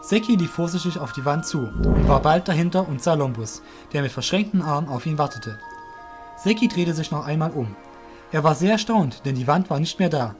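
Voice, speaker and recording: A man's voice: fairly high pitch, animated, brisk, over music. A man narrating a scripted audio drama as its storyteller.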